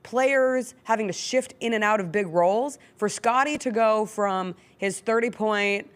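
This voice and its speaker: A woman's voice: medium pitch, measured pace. American woman hosting casual sports talk. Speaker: sports talk show host. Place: radio-style studio.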